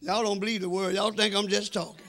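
High-pitched American preacher, gravelly and forceful. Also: male voice, little pitch movement. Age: middle-aged. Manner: emphatic, drawn-out phrasing, addresses congregation directly. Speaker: senior pastor preaching. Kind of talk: sermon. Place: church sanctuary before a congregation. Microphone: handheld microphone.

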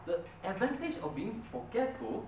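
A young man whose first language is Mandarin tells his story with a lively, rising-and-falling voice. He is heard from a distance in a roomy meeting hall, with a steady hiss of room noise behind him. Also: sits high, normal speed. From Brunei. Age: young adult. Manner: earnest storytelling, with an animated, emphatic delivery. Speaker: club speaker. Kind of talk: prepared speech. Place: meeting room, medium size, indoors. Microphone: far camera.

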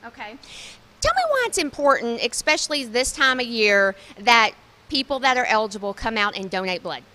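A woman's voice: on the high side, sing-song, pushed and bright. A woman field reporter, interviewing guests on a handheld mic in a large indoor mall space, bubbly and upbeat. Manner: bubbly, quick questions to guests.

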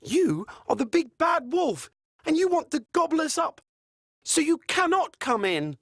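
A woman acts out a young little pig from a children's fairy tale. The voice is lifted and animated, childlike and plucky, with emphatic delivery. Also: sits high, unhurried, no room sound, clean studio sound, voice pushed up, heavily acted. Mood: defiant.

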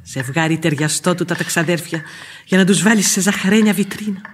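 An actress playing an outspoken, superstitious housekeeper who complains bluntly in a radio play. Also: female voice, lowish voice, slight background noise, natural voice. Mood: musing, earthy. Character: outspoken, grumbling, superstitious female housekeeper and nanny. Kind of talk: radio theatre acting. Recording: radio drama with faint music behind.

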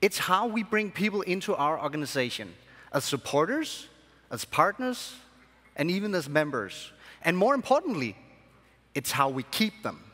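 A young man, born in Sri Lanka and raised in Denmark, giving a keynote speech to a convention audience from a large hall stage with measured, emphatic phrasing. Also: a little high, big pitch swings, fairly bright tone, husky. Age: young adult. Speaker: conference speaker. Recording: long hall reverb.

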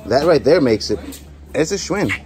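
A man chatting casually while digging through a storage unit, speculating about finds and prices. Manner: chatty, speculating, quick pitch jumps when excited. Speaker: storage auction vlogger. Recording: noisy storage unit recording.

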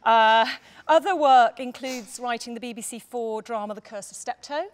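A middle-aged woman chairing a stage panel, reading from notes and making introductions in a hesitant way with frequent pauses. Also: a little high. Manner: hesitant, often pausing with ums. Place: on stage in a theatre.

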